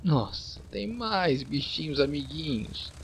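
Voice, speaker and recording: A man's voice: on the high side, lively intonation, slow pace, creaky, a bit bright, slight background noise. A man with a Brazilian accent giving casual let's-play commentary, informally muttering his reactions as he goes. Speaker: gameplay host.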